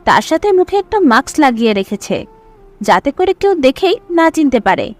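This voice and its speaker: A woman's voice: high-pitched, big pitch swings, normal speed. A woman narrating a scripted audio story as its storyteller.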